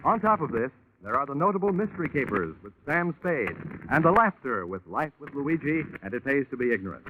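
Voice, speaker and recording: A man's voice: fairly high pitch, narrow, tinny audio. An American man announcing in a polished, emphatic, scripted 1940s network radio style. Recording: band-limited, noisy 1940s radio recording.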